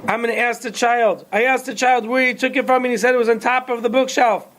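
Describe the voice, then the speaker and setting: A man's voice: fairly even intonation, fast, fairly bright tone, a little hiss or hum. A man voicing a frantic mother who shrieks accusations at a shopkeeper, in a storytelling teacher's classroom tale. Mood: indignant. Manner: shrill, accusing, hurls insults. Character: frantic shrieking mother, middle-aged woman.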